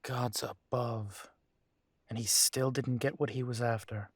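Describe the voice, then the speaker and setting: A man performs an earnest, protective werewolf pack leader in an audio drama. He talks slowly, pausing and hesitating as he weighs his words. The sound is dry and close, with no room around it. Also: medium pitch, fairly even intonation, slightly rough, no room sound. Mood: curious, probing. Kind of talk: audio roleplay acting.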